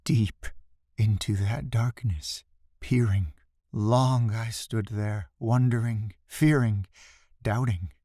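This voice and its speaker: A man giving a dramatic, expressive recitation of a classic poem, drawing out emphatic phrases for effect. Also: on the low side, takes it slowly, dry recording, clean studio sound. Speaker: poetry reader.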